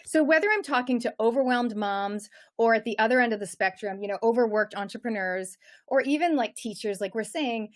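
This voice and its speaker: A woman's voice: a little high, fairly quick. A middle-aged woman with a Canadian accent, a coach talking energetically and chattily as a guest in an interview. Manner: energetic, chatty, many fillers. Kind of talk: casual interview chat.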